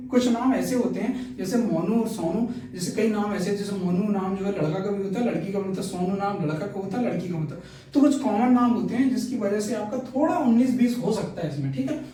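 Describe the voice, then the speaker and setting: High-pitched man in a very echoey room. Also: mellow. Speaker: exam-news video presenter. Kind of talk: informal explainer, reading out figures. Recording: echoey room, distant mic.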